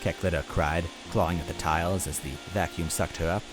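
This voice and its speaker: A man's voice: low-pitched, fairly even intonation, fairly quick, music in the background. A man narrating a first-person story expressively as a reader.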